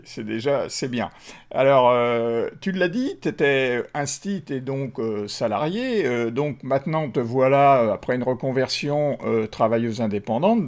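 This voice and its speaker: A man hosting a friendly video interview, speaking with many hesitations and fillers. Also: medium pitch. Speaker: interviewer, show host.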